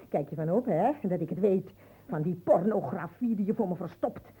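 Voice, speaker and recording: A woman's voice: fairly low pitch, lively intonation, moderate pace, dark tone, husky. A woman acting a bitter, accusing woman who taunts the men around her in a Dutch radio play. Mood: bitter, taunting. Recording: muffled phone-like old radio recording.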